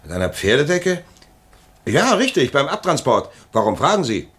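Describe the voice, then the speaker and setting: An actor playing an earnest, frustrated public prosecutor in a German historical crime radio drama, speaking formally and insistently. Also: male voice, medium pitch, sing-song, moderate pace, a bit bright, breathy edge. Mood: pleading, urgent.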